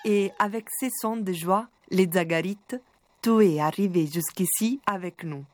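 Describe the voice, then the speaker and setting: A woman from southern Italy, narrating a documentary in a calm, measured, reflective way. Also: medium pitch. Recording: noisy recording.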